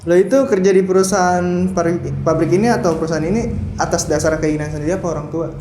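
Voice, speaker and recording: A man's voice: a little high, fairly even intonation, fast. A man hosting an interview in a casual way. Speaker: interviewer, host. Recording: roomy echo, music bed.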